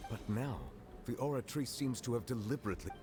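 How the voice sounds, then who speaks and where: An actor playing a solemn, formal chief judge in a game, speaking in a measured way over game music. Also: male voice, medium pitch, darkish tone, natural voice. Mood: grave. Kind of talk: game dialogue. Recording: game soundtrack underneath.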